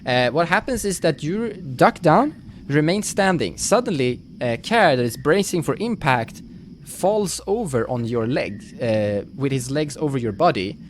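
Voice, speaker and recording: A man running a tabletop roleplaying game, narrating the action off the cuff in a halting, thinking-aloud way. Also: on the high side, lively intonation. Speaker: game master. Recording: faint noise, live stream audio.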